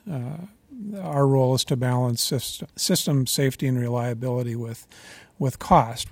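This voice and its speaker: A man speaking as a utility regulator at a public meeting, measured and formal, with frequent fillers and restarts. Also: mid-range voice, gravelly, a little mellow, dry recording.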